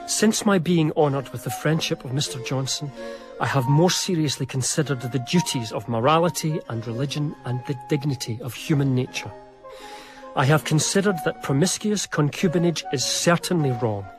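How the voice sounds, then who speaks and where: An actor playing an eager, earnest young eighteenth-century gentleman diarist in a radio drama, speaking in a formal, confiding, literary way. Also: on the high side, moderate pace, music in the background, close to an everyday voice. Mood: reflective. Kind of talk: radio drama narration and dialogue.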